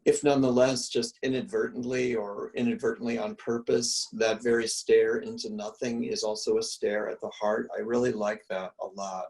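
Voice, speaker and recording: Mellow gravelly-voiced male professor, musing. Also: lowish voice, flat delivery, normal speed, few pauses, far from the mic, poor audio. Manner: mellow, musing, many restarts and fillers. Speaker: art history professor. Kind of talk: reflective conversational answers. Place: room at home.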